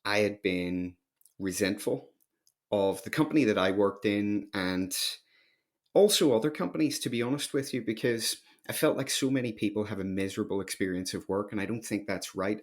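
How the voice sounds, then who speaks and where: A man's voice: on the low side, moderate pace. A man with a Northern Irish accent telling his story conversationally and emphatically as a podcast guest. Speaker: podcast guest, company founder.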